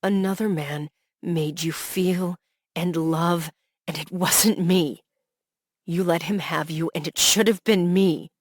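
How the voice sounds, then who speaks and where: A woman's voice: fairly low pitch, steady tone, a little slow, slightly rough, no room sound, clean studio sound. A woman voicing a heartbroken young man who pours out jealous, desperate love, her delivery raw and pleading. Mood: anguished. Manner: raw, pleading, rising to anguished outbursts.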